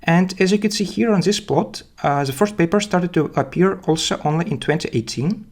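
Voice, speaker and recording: A man with a Belarusian accent giving technical explanations as a guest speaker, haltingly, often repeating words. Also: high-pitched. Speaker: guest speaker, data scientist. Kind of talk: technical presentation and interview answers.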